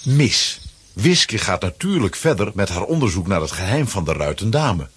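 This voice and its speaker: A man with a Flemish accent and a husky voice, narrating a children's adventure as a scripted audio drama. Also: fairly low pitch, sing-song, moderate pace, slight background noise. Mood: suspenseful.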